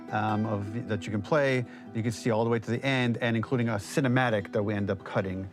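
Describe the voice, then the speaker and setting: A man who works as a game developer gives informal, explanatory commentary on his work. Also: on the low side. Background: plucked guitar music.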